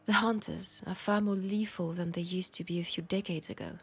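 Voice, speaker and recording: A woman with a French accent playing an ancient, lonely, seductive female vampire in an intimate audio roleplay, soft-spoken and deliberate, tender and grave, often slipping toward a whisper. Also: fairly low pitch, steady tone, husky.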